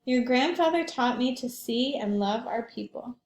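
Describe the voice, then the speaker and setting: A woman voicing a gentle, loving storybook father who tells family history in a measured, tender way. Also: fairly high pitch, animated, roomy sound. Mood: fond, storytelling.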